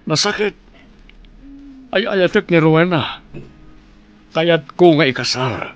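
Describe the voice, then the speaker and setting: A man's voice: a little high, expressive, slow, a little hiss or hum. An actor with an Ilocano accent playing a heartbroken, devoted father, pleading melodramatically in a radio drama. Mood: anguished.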